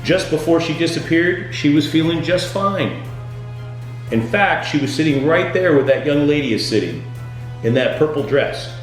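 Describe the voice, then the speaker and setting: A man delivering scripted comedic tour-guide patter as a boat skipper, with deadpan, punning jokes. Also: on the high side, moderate pace. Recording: very echoey room, music underneath.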